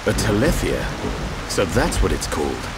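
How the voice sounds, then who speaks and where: Veteran game warrior, calm authority, varied intonation, music underneath. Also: male voice, deep voice, moderate pace. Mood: calm, considered. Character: calm, measured, seasoned male warrior leader. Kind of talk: video game cutscene voice acting.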